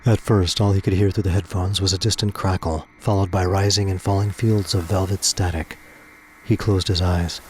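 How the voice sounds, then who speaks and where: A man's voice: low voice, a little mellow, slight background noise, low-quality recording. A man reading scripted dramatic narration for an audio drama. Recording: music under the voice. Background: music.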